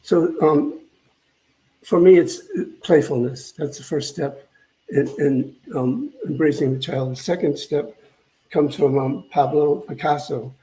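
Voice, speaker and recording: An older man giving a conversational spiritual talk as a minister, reflective and halting, often repeating phrases as he goes. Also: a little high, mellow, poor audio.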